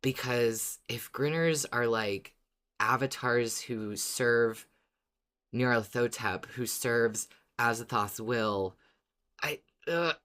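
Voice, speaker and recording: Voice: mid-range voice, slow pace, a bit bright. A podcast host talks through fan theories in a casual way. The delivery is informal and agreeable, like chatting with a co-host.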